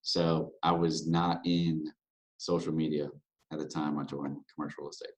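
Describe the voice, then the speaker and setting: A man in his thirties, a broker from Louisiana, answering interview questions in a relaxed, candid way with plenty of fillers. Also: very deep voice, normal speed, low-quality recording. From Louisiana, USA. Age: 30s. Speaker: guest, real estate broker. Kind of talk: podcast interview.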